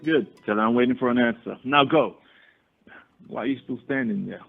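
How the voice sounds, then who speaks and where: A man plays an earnest gentleman suitor in radio drama. His voice has a gravelly creak, and he talks in formal, wordy, slightly condescending phrases. The recording is narrow like a phone line, with faint music behind. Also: medium pitch, brisk. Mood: earnest, impatient. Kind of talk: radio play acting.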